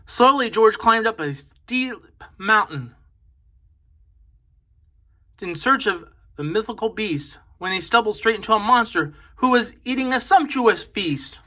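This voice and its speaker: A man reading a children's picture book aloud in an expressive, emphatic storytelling manner. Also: sits high, measured pace. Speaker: storybook reader on a children's channel.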